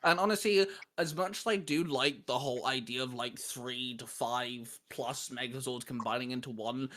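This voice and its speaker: A man's voice: mid-range voice, moderate pace, a bit bright, a little hiss or hum. A man hosting a casual top-list chat, talking in an enthusiastic, emphatic way. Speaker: video host.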